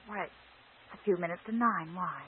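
An actress playing a devoted, agreeable sweetheart with a soft, yielding manner in 1940s radio drama style. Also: female voice, fairly low pitch, moderate pace, natural voice. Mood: tender, trusting. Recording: hissy, muffled old radio recording.

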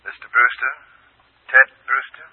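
An actor in an old-time radio drama playing a mysterious, insistent caller with measured, formal speech and veiled menace. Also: male voice, medium pitch, flat delivery, husky, no echo. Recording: old radio show, dry phone-line sound.

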